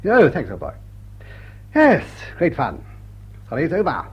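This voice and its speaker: An actor playing a jolly, enthusiastic upper-class gentleman, an amiable old-boy type in a period radio drama, on a muffled recording. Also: on the high side, wildly swooping pitch, measured pace, breathy edge, heavily acted. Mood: hearty, admiring. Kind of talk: period drawing-room radio drama acting. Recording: dull, muffled lo-fi sound.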